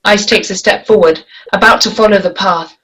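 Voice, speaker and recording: A woman's voice: medium pitch, a little fast, fairly bright tone, rough recording. A woman reading stage directions as scripted narration for a screenplay.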